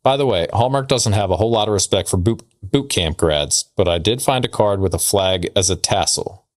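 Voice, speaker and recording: A man's voice: fairly low pitch, fairly quick. A man co-hosting a casual podcast, bantering and reading letters aloud in a conversational way. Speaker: podcast co-host.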